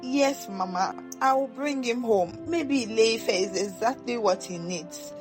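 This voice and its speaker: A woman's voice: on the high side, measured pace, music in the background, close to an everyday voice. A woman voicing a worn-out Nigerian immigrant mother in a story, pleading and exasperated. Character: weary, anguished Nigerian immigrant mother of a teenage son.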